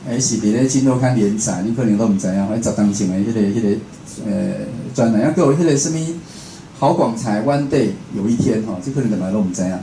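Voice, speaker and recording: A man's voice: mid-range voice, mellow. A man with a Taiwanese accent, a radio host, gives an informal talk in a conversational way, putting rhetorical questions to his listeners. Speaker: radio host and station director giving a talk. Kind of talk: informal spoken lecture. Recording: echoey room with faint background noise.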